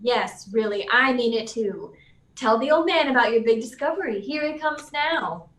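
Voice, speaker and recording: A fairly high female voice from an actress playing a brash young reporter in an old radio serial. She is sardonic and needling, with clear room echo around a slightly distant voice. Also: expressive, normal speed, a bit bright. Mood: scornful. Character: brash, sarcastic young woman newspaper reporter. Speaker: actor in a live radio play. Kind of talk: 1940s radio serial acting. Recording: roomy, echoing, off the mic.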